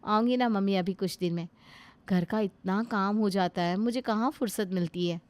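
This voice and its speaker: A woman voicing a rude, sharp-tongued young daughter-in-law who scolds her mother-in-law. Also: mid-range voice, normal speed, natural voice. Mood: sweet. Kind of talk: Hindi family drama acting.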